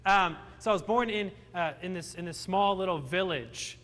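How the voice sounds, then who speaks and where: A man's voice: sits high, moderate pace, a bit bright, in a large hall. A young man born in Romania, telling his life story with self-deprecating humor to a live audience, often restarting his sentences. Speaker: motivational speaker. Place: large hall with audience.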